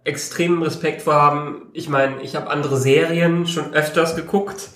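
A man's voice: on the high side, fairly even intonation, moderate pace, a bit bright, a little hiss or hum. A man talking as a guest on a casual podcast, modest and self-deprecating. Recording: echoey room.

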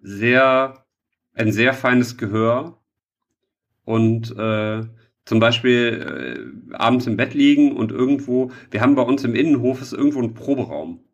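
Halting, casual man. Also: mid-range voice, lively intonation, creaky, highs cut off. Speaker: podcast co-host. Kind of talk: casual, rambling chat with a co-host.

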